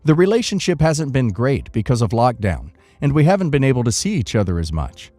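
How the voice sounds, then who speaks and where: A man narrating first-person online stories from a script in an expressive reading manner. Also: medium pitch, brisk, dry recording, soft background music.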